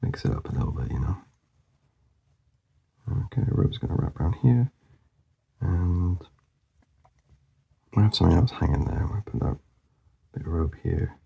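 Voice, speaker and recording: Gravelly, mumbling low-voiced man. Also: big pitch swings, moderate pace, pauses often. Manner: mumbling, rambling and soft-spoken, often slipping into a whisper. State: losing his voice. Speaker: artist demonstrating a drawing. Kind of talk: casual drawing commentary.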